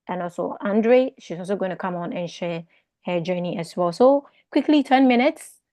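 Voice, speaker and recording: A woman hosting a live online Q&A, casually prompting her guest with quick questions and fillers. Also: lowish voice, expressive, warm tone, dry recording. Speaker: host.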